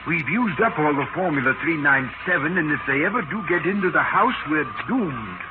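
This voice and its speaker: A scratchy 1940s radio drama with loud music carries a man playing an obsessed, fearful professor. His slightly high voice is brooding and theatrically intense. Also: animated, normal speed, phone-quality sound, close to an everyday voice. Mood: grim resolve. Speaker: radio actor.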